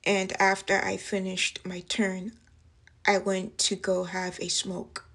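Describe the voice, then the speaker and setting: Very slow, halting young woman, acted. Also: medium pitch, monotone, fairly bright tone. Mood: uneasy, halting. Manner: halting, slow, broken phrasing. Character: traumatized young working-class waitress recounting an assault. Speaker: actress performing a film monologue. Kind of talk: dramatic monologue.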